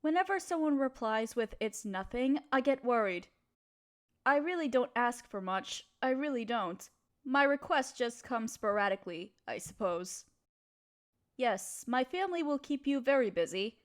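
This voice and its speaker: A woman playing a kind, proper young noble mistress in an intimate audio roleplay, speaking in formal, gently hesitant phrases to her listener. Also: a little high, animated, normal speed, lightly acted. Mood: polite, gentle.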